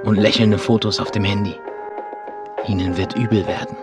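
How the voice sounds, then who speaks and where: A man's voice: on the low side, steady tone, normal speed, close to an everyday voice. A man acting in a literary radio drama, speaking terse, bitter lines as one half of a doomed couple. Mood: bleak, bitter. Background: music.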